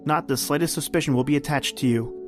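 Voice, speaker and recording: A man's voice: a little high, fast, over music, lightly acted. A man playing an ominous, all-knowing narrator who sardonically needles the hero in old-time radio suspense style. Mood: ominous, taunting.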